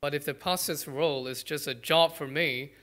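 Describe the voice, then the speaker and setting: A male pastor with a Malaysian accent delivers a sermon in short, earnest phrases, pausing after each one. His voice sits a little high and sounds bright and forward. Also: fairly even intonation. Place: church.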